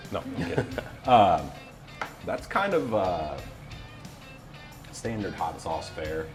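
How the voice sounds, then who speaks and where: A man with a low voice talks casually as an interview guest. He is a voiceover artist with a playful, wry way of putting things, with music in the recording. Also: very animated, slow. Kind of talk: casual chat.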